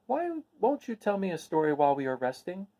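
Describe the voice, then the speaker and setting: A man voicing a gentle, plain-spoken and earnest young farm girl in a storybook reading. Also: high-pitched, huge pitch swings, fairly quick, voice pushed up, exaggerated voice.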